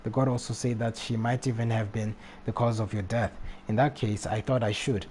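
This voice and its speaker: A man live streaming a video game, reading text aloud and commenting casually in a halting way. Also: lowish voice, steady tone, a little mellow. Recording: faint background noise, game ambience.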